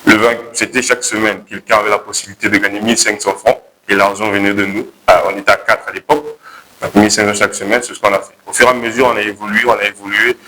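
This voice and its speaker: A young man with a Congolese accent pitching his project to a jury, hesitating and correcting himself as he goes. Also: level tone, fast talker, bright, forceful tone, slight background noise, poor audio. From Republic of the Congo. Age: young adult. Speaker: contest candidate pitching a project.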